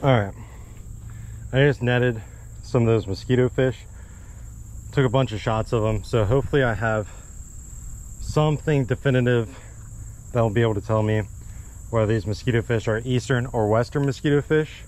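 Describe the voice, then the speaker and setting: A man's voice: medium pitch, moderate pace, pauses often, a little mellow. A man chatting easily and thinking aloud as he narrates a fishing trip at a marsh pond, recorded on a body-worn action camera. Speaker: fishing vlogger. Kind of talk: casual outdoor vlog narration.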